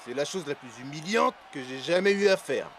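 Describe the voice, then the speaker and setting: A man's voice: a little high, expressive, fairly quick, fairly bright tone, some chatter behind, slight background noise. A man voicing a bossy, devious hooligan in a French cartoon, scheming and easily exasperated. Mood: jeering, annoyed. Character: bossy, devious male hooligan posing as a fan.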